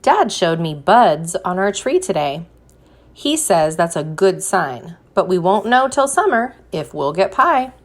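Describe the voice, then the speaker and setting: A woman reading a picture book aloud like a teacher, pausing to put questions to young listeners and encourage them. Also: fairly low pitch, big pitch swings. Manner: slow, deliberate, encouraging prompts.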